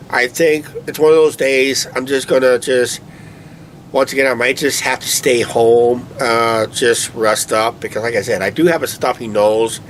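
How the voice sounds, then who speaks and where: Vlogger, male, mid-pitched, stuffy nose, rambling casual delivery, recorded outdoors with faint background noise. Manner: meandering, filler-heavy, "uh, basically" talk. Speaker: co-host of a vlog channel. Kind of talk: casual rambling chat over a beer. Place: outdoors at night near a shed. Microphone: camera on a tripod.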